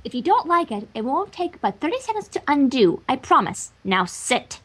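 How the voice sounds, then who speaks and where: A woman's voice: sits high, big pitch swings, moderate pace. A woman voicing a prim, insistent female fashionista pony with shiny cheer, in a cartoon story reading. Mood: coaxing.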